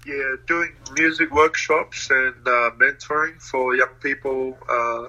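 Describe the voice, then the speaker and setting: A man's voice: medium pitch, steady tone, slow pace, sharp tone, poor audio. A young man from New Zealand, talking casually as an interview guest, laughing often between hesitant fillers.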